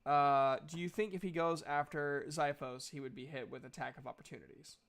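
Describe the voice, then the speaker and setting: A man acting as game master in a casual tabletop roleplaying session, thinking aloud in a halting way as he works out dice and rules. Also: fairly high pitch, steady tone, creaky, fairly bright tone, clear, resonant tone.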